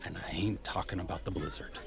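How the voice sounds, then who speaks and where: A gruff old-school cop in a noir audio drama. The voice is very low, with heavy fry and gravel. He sounds world-weary but loyal. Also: steady tone, a little fast, in a whisper. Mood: grim warning.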